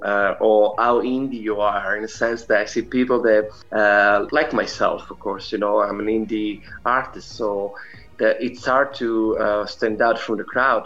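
A man's voice: on the low side, fairly bright tone, lo-fi sound. A middle-aged man with an Italian accent talking casually as an interview guest, in a rambling manner peppered with filler phrases. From Italy. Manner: rambling, frequent "you know". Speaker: interview guest, indie musician.

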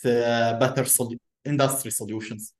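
Soft-spoken engineer, echoey room. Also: male voice, mid-range voice, fairly even intonation, moderate pace. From Lebanon. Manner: repeats words, many restarts. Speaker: guest engineer. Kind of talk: conversational interview.

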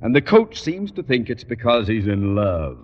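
An actor playing a pompous, long-winded male high school principal in a 1950s radio sitcom. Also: sing-song, moderate pace. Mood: blustering. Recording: old radio broadcast, muffled with reverb.

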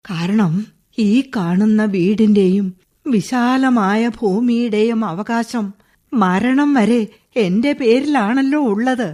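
A weary old mother confined to her bed in a radio drama. Her voice is husky and breathy, slightly high, and she drags out her words slowly with heavy resignation and bitterness. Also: darkish tone. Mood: bitter. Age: older. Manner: resigned, tearful at times.

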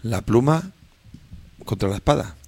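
A man's voice: mid-range voice, expressive, a little slow, mellow, breathy edge. A male radio co-host chatting casually, laughing often and adding enthusiastic asides about bands. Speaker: radio music show co-host.

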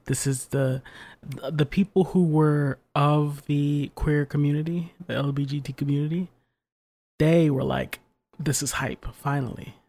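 A young man co-hosting a casual late-night podcast, keeping his voice soft and hushed so he won't wake a sleeping child. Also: on the high side, steady tone, unhurried. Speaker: podcast co-host.

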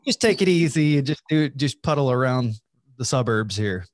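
A man's voice: medium pitch, animated, moderate pace, no echo. Easygoing man, nearly forty, dry sound. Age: 30s. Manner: easygoing, friendly. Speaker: pastor. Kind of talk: casual interview chat.

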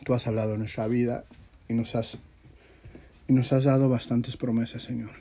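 A man gives an informal religious teaching in a soft-edged, mellow voice. He sounds husky and congested, as if with a sore throat. His delivery is earnest and halting, often repeating words as he goes. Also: mid-range voice, little pitch movement. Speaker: religious teacher leading an audio class. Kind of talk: informal sermon and prayer.

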